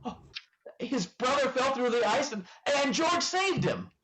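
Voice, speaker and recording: A woman's voice: high voice, big pitch swings, a little slow, fairly bright tone, slight background noise, full character voice. A woman playing an eager, naive apprentice angel in a radio play, polite, hesitant and stammering. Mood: curious wonder. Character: eager, flustered, naive two-hundred-year-old apprentice angel. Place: video call.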